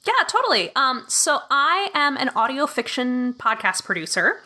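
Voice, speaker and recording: A woman with a bright, forward voice sits a bit high in pitch. She talks as an interview guest, informal and enthusiastic, in the loose manner of casual conversation. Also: big pitch swings, moderate pace. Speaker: podcast guest, audio fiction producer.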